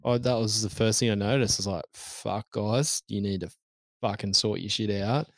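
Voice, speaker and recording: A man's voice: on the low side, normal speed, no echo. A man, co-hosting a casual podcast chat, blunt and sweary. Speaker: podcast co-host.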